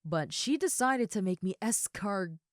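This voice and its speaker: A woman playing a big, friendly ogre roommate in an audio roleplay, chatting casually and gently to the listener. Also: mid-range voice, animated, normal speed, dry recording, clean studio sound, natural voice. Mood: warm, reassuring. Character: huge muscular red female ogre roommate, friendly and easygoing.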